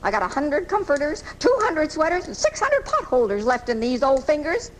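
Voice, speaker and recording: An actress playing a feisty, fretful frail old American spinster who scolds in folksy, indignant style in a live radio drama. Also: female voice, sits high, sing-song, moderate pace. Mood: indignant, scolding. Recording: noisy amateur off-air radio recording from 1984.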